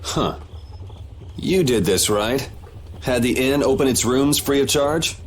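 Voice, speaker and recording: A man's voice: mid-range voice, slow, a little hiss or hum, natural voice. A man playing a wry, cocky young swordsman hero in a video game, with a casual, sardonic way of talking. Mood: wry, probing. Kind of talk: English video game dub.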